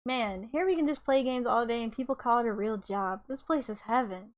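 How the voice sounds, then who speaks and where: A woman's voice: a little high, a little fast, muffled recording, lightly acted. A woman reads the part of a cheeky young male gamer monarch in a light novel. He is casual, glib and pleased with himself, treating idleness as serious work. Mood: smug, casual.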